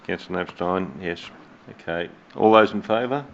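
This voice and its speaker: A man with an Australian accent chairing a council meeting as mayor, measured and hesitant with many fillers, recorded on dull lo-fi room audio. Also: deep voice, vocal fry, a little hiss or hum. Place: council chamber.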